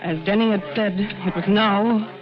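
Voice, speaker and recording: An actress playing a tense woman who narrates her part in a murder plot, in deliberate 1940s radio suspense style. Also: medium pitch, fairly even intonation, lightly acted. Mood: urgent, dread. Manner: dramatic, deliberate, suspenseful delivery. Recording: muffled vintage radio recording, loud music behind.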